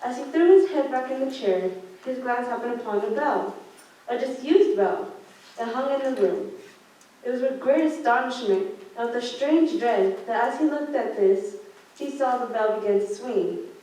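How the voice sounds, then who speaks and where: A woman's voice: on the high side, normal speed, warm tone, natural voice. A young woman student reading scripted story narration for a radio play. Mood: narrating. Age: teenager or young adult. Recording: echoey room, far mic, background noise.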